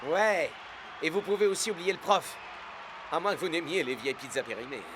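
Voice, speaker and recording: A man's voice: fairly high pitch, brisk, crowd noise, noisy background, close to an everyday voice. A man playing an earnest, determined young soccer star in a French-dubbed cartoon, speaking with dramatic emphasis. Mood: disappointed, surprised.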